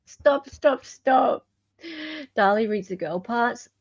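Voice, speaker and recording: An Australian woman hosting a casual live commentary, chatting with dramatic pauses, emphasis and rhetorical questions. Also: a little high, no room sound, muffled recording. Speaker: livestream host commentator.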